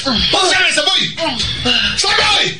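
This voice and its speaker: A man voicing an excited boy yelling out to his playmates in a Filipino radio drama. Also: very high-pitched, slow pace, full character voice. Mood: excited, urging. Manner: shouting, calling out names. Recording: roomy, distant, loud music behind.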